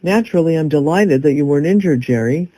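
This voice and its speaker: A man playing a composed, pragmatic newspaper boss with a measured delivery in an audio drama. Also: fairly high pitch, animated, dark tone, natural voice, low-quality recording. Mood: composed, dry.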